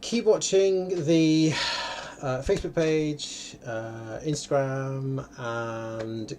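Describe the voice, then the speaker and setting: A man's voice: mid-range voice, steady tone, warm tone. Casual male host for an online Q&A, mid-range voice, hesitant delivery that circles back, noise on the line. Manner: hesitant, halting, circles back and repeats phrases. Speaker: film-maker hosting a live online question session. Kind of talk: casual unscripted question-and-answer talk. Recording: clearly noisy live stream audio.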